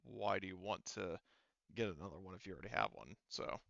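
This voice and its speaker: A man referees a tabletop roleplaying game in a low voice with gravelly fry. He talks casually and haltingly, working through rules aloud. The sound is very dry and a little muffled, like a lo-fi close mic. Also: big pitch swings, fairly bright tone, no room sound. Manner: halting, thinking aloud while checking rules. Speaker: game master.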